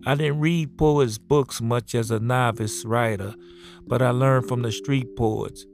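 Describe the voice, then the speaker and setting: A middle-aged man from New Orleans, a poet reading a measured self-introduction and a poem. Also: mid-range voice, steady tone, vocal fry. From New Orleans, USA. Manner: measured, spells words out. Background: soft guitar music.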